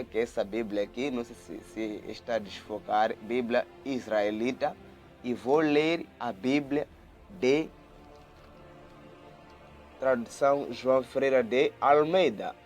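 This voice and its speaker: A man's voice: mid-range voice, unhurried, pauses often, faint music behind. A man giving a video Bible study, reading scripture aloud and explaining it in an earnest way, often repeating words and restarting. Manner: earnest, repeats words and restarts, grows lively when retelling. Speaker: Bible teacher.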